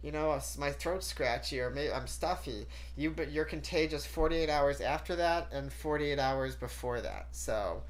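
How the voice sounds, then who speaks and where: A woman's voice: sits low, normal speed, hardly any pauses. A woman hosting a talk show, chatty and full of fillers, sounding stuffy and nasal from a cold. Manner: chatty, laughs often, many fillers. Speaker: host. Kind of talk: casual talk show chat.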